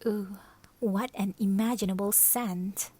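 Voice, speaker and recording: A woman's voice: medium pitch, steady tone, slow pace, mellow, breathy edge. A woman voicing a dainty young female cockroach in a storybook, polite and a little hesitant. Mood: polite, queasy.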